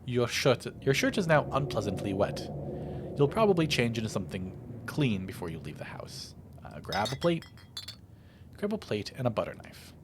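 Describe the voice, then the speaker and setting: A man's voice: mid-range voice, background noise. A man narrating a video game playthrough, reading the text aloud theatrically and adding his own casual commentary. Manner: expressive, theatrical read-aloud delivery. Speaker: let's play host.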